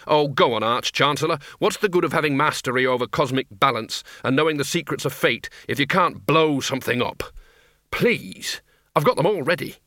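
A man's voice: on the high side, moderate pace, pushed and bright, no echo, clean studio sound. A man voicing an eager, gung-ho wizard dean in an audiobook, boyishly enthusiastic yet deferential to his chief. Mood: eager pleading.

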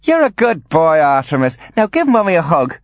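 A man acts out a frail, bedridden mother in a storybook. The voice is lifted high and swings widely, crooning one moment and fretful the next. The manner is imperious and dramatic, with a delusional edge, recorded close and dry. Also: big pitch swings, moderate pace, dry recording. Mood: imperious, fond.

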